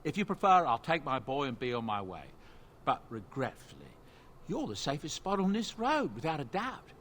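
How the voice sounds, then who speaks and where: A man's voice: on the high side, very animated, normal speed, fairly bright tone, a little hiss or hum. An actor playing a genial, ingratiating middle-aged dwarf merchant in a fantasy game, with polite, chatty salesman's patter. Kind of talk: fantasy game character acting.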